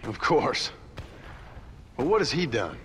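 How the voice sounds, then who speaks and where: A weary frontier gunman in a Western video game. His mid-pitched American delivery is terse, dry and sardonic, with faint ambience behind it. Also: wildly swooping pitch, slow, fairly bright tone, a little hiss or hum, exaggerated voice. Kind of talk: video game cutscene acting.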